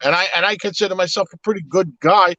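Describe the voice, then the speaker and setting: A man's voice: high voice, fairly even intonation, fast talker, bright, forceful tone, dry recording, muffled recording. A man whose first language is Italian, talking in a casual, reflective way on a live podcast, with many restarts. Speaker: podcast host.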